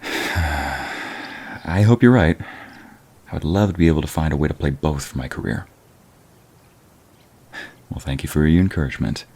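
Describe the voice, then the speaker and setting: Man playing a bashful, deep-voiced gentleman violinist. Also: breathy edge, heavily acted. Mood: warm, earnest. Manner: bashful, halting, formally polite. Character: shy, polite young male concert violinist, smitten and courteous. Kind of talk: ASMR romantic roleplay audio drama.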